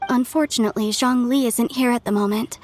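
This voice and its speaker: A woman's voice: on the high side, steady tone, fairly quick, soft background music, lightly acted. A woman voicing a polite, formal female attendant in a video game, apologetic in tone. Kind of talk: video game character dialogue.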